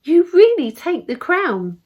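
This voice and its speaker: An excitable, kindly little storybook fairy acted out by a woman, gushing in exclamations. The delivery leaps and dips in pitch, with a slightly husky edge. It is unhurried, as verse for children is. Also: lo-fi sound, full character voice. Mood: awed, gushing.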